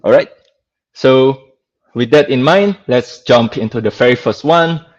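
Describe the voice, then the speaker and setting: A man's voice: mid-range voice, unhurried. Singaporean-accented man lecturing, dull lo-fi audio. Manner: conversational, peppered with "like" and checking "right?". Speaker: guest speaker, lecturer. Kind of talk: informal online technical lecture.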